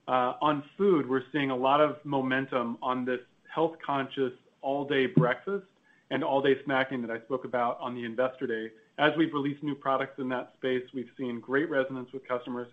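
A man of medium pitch gives a corporate answer as a senior executive. His delivery is polished and orderly, point after point. The audio has the thin band of a telephone line. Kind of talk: corporate answer on an earnings call. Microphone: conference call line. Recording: narrow phone-like conference line.

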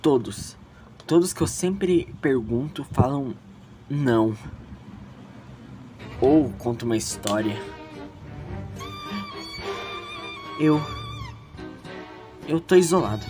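A man's voice: medium pitch, expressive, takes it slowly, long pauses, over music. A man with a Brazilian accent playing an anxious, insecure, ambitious teenage schoolboy in a dramatic audio drama, speaking emotively and emphatically. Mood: troubled. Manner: emotive, emphatic, repeating words when agitated. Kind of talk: dramatic first-person narration and dialogue in audio drama.

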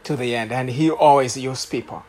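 A man in his late thirties with a Rwandan accent gives a guest sermon. He speaks in a mid-range voice, halting often with fillers and restarts as he shares his testimony. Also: mid-range voice, a little mellow. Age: late 30s. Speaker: guest preacher.

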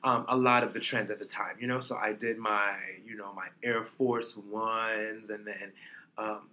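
Man, thirties, from New York, bright tone, casual rambling chat, interview guest. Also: fairly low pitch, little pitch movement, measured pace. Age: 30s. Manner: chatty, laid-back, peppered with "like" and "you know".

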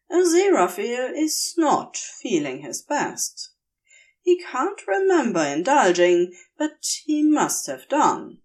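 A woman reading a story aloud as narrator, in an expressive scripted style. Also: medium pitch. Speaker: fan fiction reader, narrator.

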